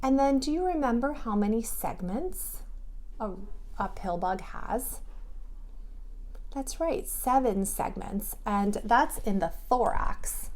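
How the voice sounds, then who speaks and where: Female preschool teacher for children's read-alouds and lessons: mid-pitched, very animated intonation, slow delivery, simple guiding phrasing. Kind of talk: expressive picture-book read-aloud and children's drawing lesson.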